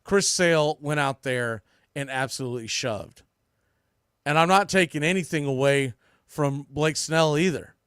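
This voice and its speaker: High-pitched American man talking baseball, dry sound. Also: measured pace, fairly bright tone, clear, resonant tone, no room sound. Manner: blunt, emphatic, mildly salty language. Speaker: sports podcast host and play-by-play broadcaster. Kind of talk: opinionated baseball commentary.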